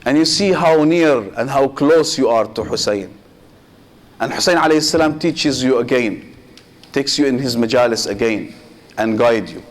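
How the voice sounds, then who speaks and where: A man, a sheikh giving a Muharram sermon, speaking in short measured phrases with pauses, addressing listeners directly and dropping Arabic religious phrases into his English. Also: mid-range voice, animated. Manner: addresses listeners directly and asks rhetorical questions.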